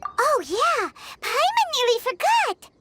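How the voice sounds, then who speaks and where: A woman voicing a tiny, chirpy, insistent childlike fairy companion in an anime-style video game, with an expressive, squeaky delivery. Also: slow, slight background noise, heavily acted. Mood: earnest, curious. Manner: expressive, speaks of self in third person.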